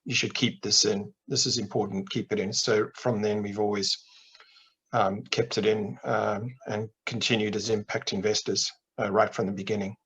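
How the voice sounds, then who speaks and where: A middle-aged man from Zimbabwe on a video call, explaining his work in a measured way with frequent restarts. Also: on the low side, flat delivery, a bit bright, breathy edge, muffled recording. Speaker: investment manager guest. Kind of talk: interview answers on a video call. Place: home office with plain wall. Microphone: webcam on video call.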